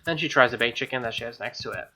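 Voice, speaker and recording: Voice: mid-range voice, very fast, bright, forceful tone. A streamer reading a visual novel aloud and breaking off into casual, slangy, opinionated commentary. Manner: slangy, exclaiming, opinionated asides. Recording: music behind the voice.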